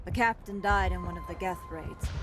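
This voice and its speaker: A woman's voice: fairly low pitch, moderate pace, music in the background, close to an everyday voice. A woman playing a weary, guarded freighter survivor in a video game, hesitant and resigned in natural dialogue.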